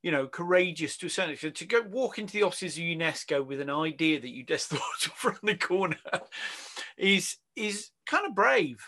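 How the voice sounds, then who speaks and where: Male interviewer, fairly high voice, bright tone, casual, rambling, affirming, video call. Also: expressive, brisk. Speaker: interview host.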